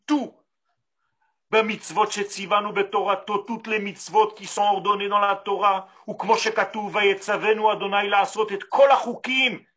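A high, bright-voiced man with an Israeli accent teaches a Torah lesson in French. His pitch swings widely, and he keeps slipping Hebrew terms into his sentences and firing rhetorical questions at his listeners. Hebrew is his first language. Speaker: rabbi.

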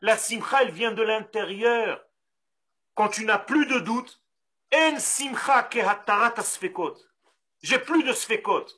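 A man from Israel with an Israeli accent, a Hebrew native speaker giving a Torah lesson in French, animated and full of rhetorical questions. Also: sits high, slightly rough. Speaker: rabbi.

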